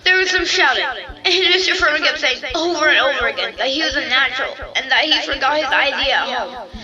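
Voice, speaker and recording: A woman playing a frightened, guilt-ridden young boy in an audio drama, halting and tearfully pleading. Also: sits high, lively intonation, distant mic, muffled recording, over music. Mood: scared, defensive.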